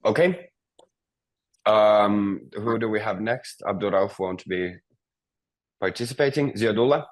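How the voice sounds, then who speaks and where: A man's voice: lowish voice, a little slow. A man teaching a beginner language class, patiently prompting students and explaining grammar step by step.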